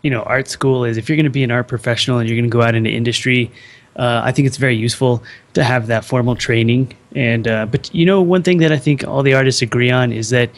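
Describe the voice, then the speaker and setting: A man with a gravelly, mid-pitched voice speaks as a guest on a casual podcast. He talks earnestly and encouragingly, leaning on "you know" as he explains. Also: fairly even intonation, quick pace.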